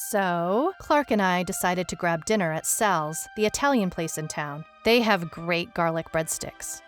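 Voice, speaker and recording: A woman playing a wry detective who recounts a strange case in a dry, storytelling way, as scripted audio drama narration. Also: lowish voice.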